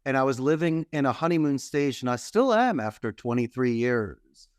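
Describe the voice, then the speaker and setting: A man's voice: medium pitch, animated, moderate pace, smooth, no room sound, clean studio sound. A man in his fifties with a Philadelphia accent, telling his story as a guest in an emphatic, speech-like way. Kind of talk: interview storytelling.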